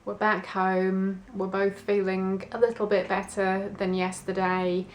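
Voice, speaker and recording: A woman's voice: mid-range voice, level tone, a little slow, slight background noise. A woman chatting informally and a little ramblingly to camera in a book vlog, with an understated manner. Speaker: book vlogger. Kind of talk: casual chat to camera, reading diary and book review. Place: indoors at home.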